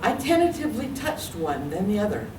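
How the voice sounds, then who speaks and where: A woman reading her own memoir aloud at a library event, telling it with lively storytelling. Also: mid-range voice, brisk, background noise. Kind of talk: literary reading. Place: library meeting room. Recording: echoey room, distant mic.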